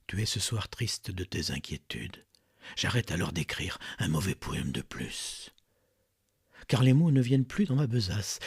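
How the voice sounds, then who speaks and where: Husky man reciting poetry dramatically. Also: fairly low pitch, no room sound, studio quality. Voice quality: husky, breathy, gravelly. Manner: theatrical, swinging between hushed murmurs and forceful swells. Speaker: poetry reader.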